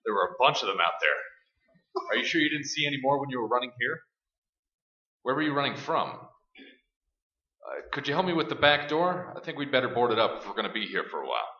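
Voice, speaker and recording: A man's voice: medium pitch, very animated, a little fast, pauses often, bright, forceful tone. An actor playing a determined, protective man taking charge in a horror radio play, speaking urgently and practically, with a commanding manner. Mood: tense, practical. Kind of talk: staged radio play acting. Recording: roomy, dull, noisy live stage recording.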